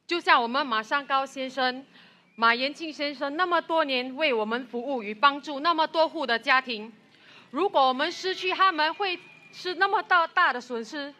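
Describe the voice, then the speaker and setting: High, bright woman at a rally. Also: normal speed. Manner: earnest, rousing. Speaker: rally speaker. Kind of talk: political rally speech. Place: outdoor rally with loudspeakers.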